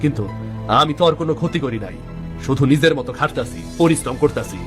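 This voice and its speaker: A man voicing a humble, kind-hearted, hardworking village eatery owner in an earnest, gentle cartoon style. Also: fairly high pitch, fast talker, over music, close to an everyday voice.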